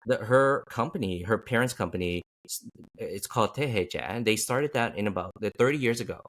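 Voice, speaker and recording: Deep-voiced man hosting a chat. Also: animated, brisk, a bit bright. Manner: rambling, many restarts and fillers. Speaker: podcast host. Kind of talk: casual conversational interview.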